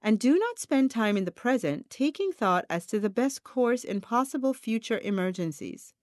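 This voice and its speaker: Female audiobook narrator for self-help material; expressive, varied pitch, deliberate instructive read, dry studio-like sound. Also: dry recording. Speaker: audiobook reader.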